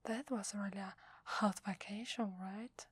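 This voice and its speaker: Female actor for secretive, affectionate werewolf wife, ASMR roleplay; Italian accent, breathy and hesitant whispering, very dry close sound. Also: medium pitch, steady tone, a little slow, no echo. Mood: tender, excited. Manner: tender, hesitant, intimate. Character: loving, secretive werewolf wife, tender tinkerer and sculptor.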